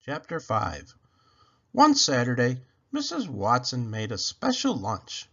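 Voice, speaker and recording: A man reading a children's storybook aloud with expressive narration. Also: mid-range voice, slow pace, breathy edge, highs cut off.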